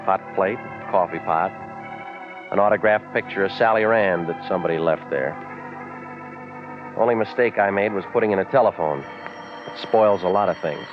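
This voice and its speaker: A man acts out a cynical private eye in a vintage radio play. He speaks low, in dry, wisecracking, clipped phrases. The recording is dull, with music clearly behind it. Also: normal speed, pauses often, natural voice. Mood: deadpan, jaded. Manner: deadpan, terse, sardonic. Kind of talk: 1940s radio drama, first-person narration and dialogue.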